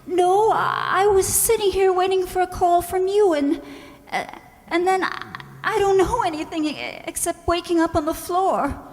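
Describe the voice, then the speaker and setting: A woman playing an anxious, fragile woman in distress in an old-time radio drama, speaking in a halting, fretful way. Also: very high voice. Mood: dazed, halting. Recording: reverberant hall, stage-like sound.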